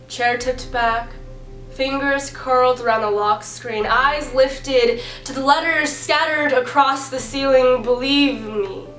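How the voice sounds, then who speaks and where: A young American woman performing a slam poem, speaking earnestly with dramatic phrasing, deliberate pauses and repetition. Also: high-pitched, a bit bright, roomy sound, faint music behind, rough recording. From San Bernardino area, California, United States. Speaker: amateur spoken-word poet. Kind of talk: slam poetry recitation.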